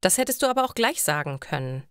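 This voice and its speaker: A woman's voice: fairly low pitch, very animated, fast, smooth, no room sound, clean studio sound. A woman voicing a pushy, easily offended male friend in a story for language learners. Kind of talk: German learner story dialogue.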